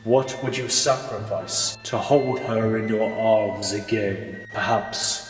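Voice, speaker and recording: Man voicing a slow, low, icy supervillain. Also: fairly even intonation, echoey room, big-room sound. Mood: cold threat. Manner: slow, menacing, formal. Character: cold, grieving male supervillain in a frost suit. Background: music.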